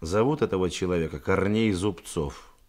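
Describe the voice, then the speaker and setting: An actor playing a shrewd, composed police detective in a radio drama, deliberate, commanding and dryly ironic. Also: male voice, sits low, a little mellow, lightly acted. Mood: methodical, pressing.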